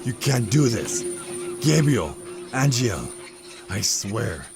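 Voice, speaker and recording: A man playing a bitter, vengeful archangel of death in a fantasy audio drama, gravelly, menacing and contemptuous. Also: fairly low pitch, very animated, slow pace, over music, full character voice. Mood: enraged.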